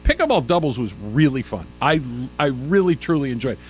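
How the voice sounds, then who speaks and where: A man in his fifties co-hosting a sports radio show, telling stories in a lively, self-mocking way. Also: mid-range voice, a little fast, slight background noise. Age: 50s. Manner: animated storyteller, self-mocking. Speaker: radio host. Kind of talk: casual sports radio banter.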